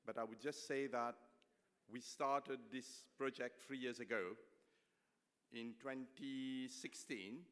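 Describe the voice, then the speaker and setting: Male conference organiser, mid-pitched, halting closing-remarks delivery with frequent restarts, recorded in an echoing hall. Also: steady tone, fairly bright tone, clean tone. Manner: halting, repeats and rephrases himself. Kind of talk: informal closing speech to a conference. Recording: echoey hall with long reverb.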